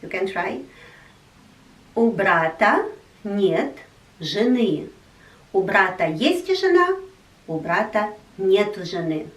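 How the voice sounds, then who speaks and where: Woman teacher, slow patient delivery, repeats examples, echoey room, voice a little off-mic. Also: medium pitch, distant mic. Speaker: language teacher. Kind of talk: language lesson.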